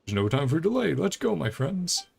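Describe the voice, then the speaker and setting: A man voicing a grandiose, eager treasure-hunting adventurer from a video game, with florid, courtly flattery and theatrical delivery. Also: fairly high pitch, normal speed, gravelly, a little hiss or hum, pitched above the performer's own voice, exaggerated voice. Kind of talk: game dialogue read aloud in character.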